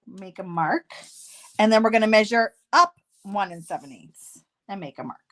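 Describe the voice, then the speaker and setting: A woman hosting a casual card-making tutorial, chatty and self-deprecating, slightly hoarse with a stuffy nose. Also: medium pitch, lively intonation, normal speed, fairly bright tone.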